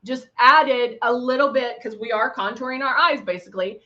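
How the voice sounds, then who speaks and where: Middle-aged Texan woman, chatty live-tutorial host, slightly high voice, dull lo-fi stream audio. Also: moderate pace, bright, forceful tone. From Texas. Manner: chatty and upbeat, talks while applying makeup, playful sound effects. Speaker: makeup brand host.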